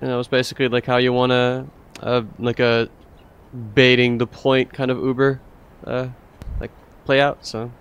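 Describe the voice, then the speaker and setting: A man's voice: medium pitch, moderate pace, clean tone, dull audio. Rambling male esports caster. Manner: relaxed, rambling play-by-play with many fillers. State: voice getting raspy. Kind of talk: live game commentary.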